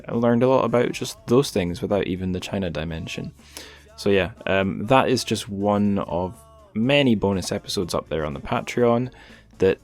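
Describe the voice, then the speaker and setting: Man, lowish pitch, podcast host, casual talk, wry and informal, music in the background. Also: a little mellow.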